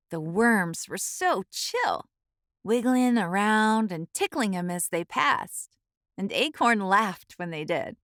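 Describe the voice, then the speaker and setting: A woman reading a children's storybook aloud as the host, in an expressive, deliberate storytelling style. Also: medium pitch, dry recording, studio quality.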